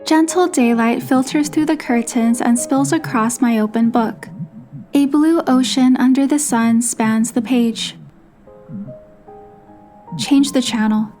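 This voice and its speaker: Female narrator, higher register, scripted romantic drama storytelling, music underneath. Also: slow, mellow. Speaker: narrator and voiced player character of a game story. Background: music behind the voice.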